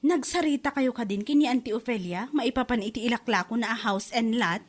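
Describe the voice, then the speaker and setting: A woman performs an Ilocano wife in a conversational drama. She is inquisitive and sympathetic, speaking in a distinctly high voice. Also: a little fast, natural voice. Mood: curious, sympathetic.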